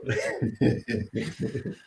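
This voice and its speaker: A man's voice: very slow, darkish tone, a little hiss or hum. A man hosting a casual listening stream about his album, chatty and self-deprecating, laughing often. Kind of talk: casual chat.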